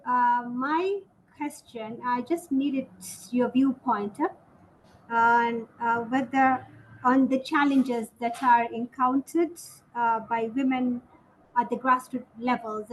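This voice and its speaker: A woman asks a polite question over a video call, hesitating with small uhs. Her voice is high. Faint noise sits behind her. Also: low-quality recording. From Kenya. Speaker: remote participant asking a question.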